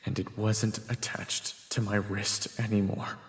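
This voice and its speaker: Man acting a low, gravelly, somber storyteller. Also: flat delivery, a little slow. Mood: tense, haunted. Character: weary male narrator recalling his traumatic adventure. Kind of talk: dramatic first-person story narration. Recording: echoey hall reverb, music behind.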